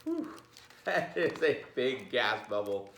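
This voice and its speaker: A man reading a children's picture book aloud in an informal, jokey, expressive way, with chatty asides. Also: mid-range voice, slow, echoey room.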